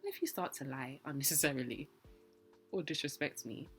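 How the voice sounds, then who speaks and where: A young woman hosting a casual podcast chat, thinking out loud in a reflective, emphatic way. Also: deep voice, brisk, faint music behind. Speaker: podcast host.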